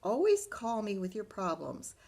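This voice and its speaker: A woman's voice: mid-range voice, huge pitch swings, moderate pace, vocal fry, a little mellow, voice pushed up, heavily acted. A woman voicing a busy storybook mother who is matter-of-fact, reassuring and firm. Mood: calm reassurance. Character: busy, even-tempered but firm mother.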